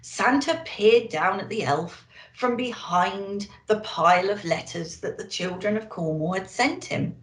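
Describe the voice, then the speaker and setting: A woman speaking as a children's storyteller, expressive and deliberate, as if to young listeners. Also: medium pitch. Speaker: storyteller in character as a Christmas elf. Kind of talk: children's storybook reading and festive address. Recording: echoey room.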